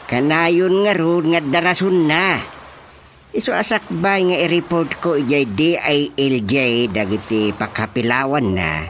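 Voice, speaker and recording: A Filipino man playing an indignant, scheming village husband in a radio comedy drama, grumbling in a drawn-out, animated way. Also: a little high, a little hiss or hum. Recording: music bed under the dialogue.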